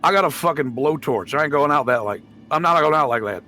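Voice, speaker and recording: A man's voice: a little high, lively intonation, fast talker, pushed and bright, soft background music. A man talking casually as a player in a tabletop game, blunt and sweary.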